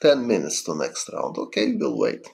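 A middle-aged man from Ukraine with a Ukrainian accent, chatting casually on a chess stream in halting English with many fillers. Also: on the low side, huge pitch swings, creaky, darkish tone. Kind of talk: casual livestream chat answering viewers.

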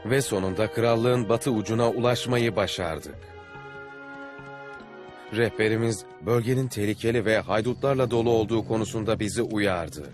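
A man's voice: lowish voice, moderate pace, close to an everyday voice. A man playing a seasoned Venetian explorer captain in a dubbed cartoon, earnestly recounting his adventures. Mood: earnest storytelling. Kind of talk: dubbed cartoon dialogue and storytelling. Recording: background music under the voice.

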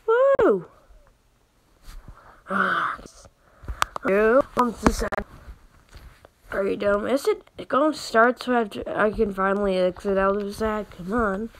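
A woman's voice: mid-range voice, expressive, measured pace, pauses often, a little hiss or hum, low-quality recording. A woman giving casual gameplay commentary, thinking aloud with exclamations and reading game text out. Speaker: gaming video host.

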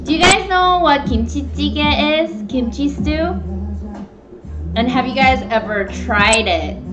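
High-voiced young woman streaming in an echoey room. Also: animated, slow pace. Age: young adult. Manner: informal, meandering, greeting viewers. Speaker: streamer hosting a live cooking show. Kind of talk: casual live stream chat. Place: small home room, indoors at a table. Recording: echoey, dull webcam stream, background music.

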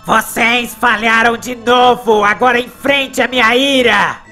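A woman voicing a menacing, wrathful cartoon villain boss in a slow, threatening way. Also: on the high side, steady tone, bright, forceful tone. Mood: furious. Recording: music behind the voice.

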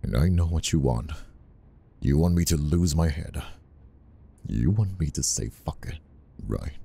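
Guy playing a teasing bossy boss in asmr rp, super deep gravelly voice, slow breathy murmur right up on the mic. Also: animated, warm tone, heavily acted. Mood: conflicted, yearning. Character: flirtatious, conflicted male boss, dominant and teasing. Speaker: voice actor and ASMR roleplayer. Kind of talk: intimate ASMR roleplay acting. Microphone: close ASMR microphone.

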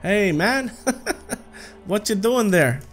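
Playful higher-pitched man doing game commentary. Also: expressive, takes it slowly, fairly bright tone, soft background music. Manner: playful, chuckles often, talks to the game. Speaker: gaming video host. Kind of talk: casual gameplay commentary.

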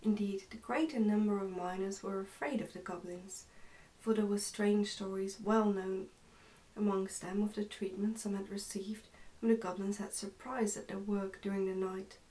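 A young woman reads a storybook aloud for ASMR. Her voice is relaxed and mellow, with a soft, whispery, careful delivery. She is recorded indoors, a little way off from the microphone. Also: medium pitch, normal speed, distant mic, low-quality recording. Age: young adult. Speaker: ASMR narrator reading a book aloud. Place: indoors, small plain room.

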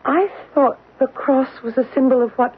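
A woman's voice: sits high, fairly even intonation, moderate pace, slightly rough. A woman acting in a 1970s radio play as a nervous, questioning housewife in a haunted house. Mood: uneasy questioning. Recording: tinny vintage radio broadcast.

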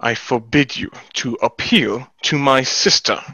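A man's voice: mid-range voice, a little slow, pushed and bright, husky, no echo. A young man in a play reading, acting a hot-headed, proud, indignant young businessman, recorded on a headset. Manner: brash, indignant. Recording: dull headset video-call audio.

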